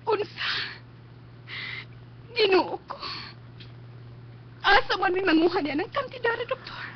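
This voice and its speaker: An actress playing an anxious, devoted daughter in a radio drama. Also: female voice, very high-pitched, animated, slow pace, exaggerated voice. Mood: distressed, pleading. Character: anxious, devoted daughter tending her stricken father. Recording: muffled lo-fi stream with faint noise.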